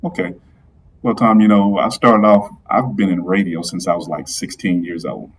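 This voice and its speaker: A man with a deep, low baritone tells his story easily and enunciates with an announcer's care. He speaks over a remote video call, a little distant from his mic, with a faint hiss of room sound behind him. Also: fairly even intonation, moderate pace. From Georgia, US. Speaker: guest, live streamer and football PA announcer. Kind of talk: casual interview chat.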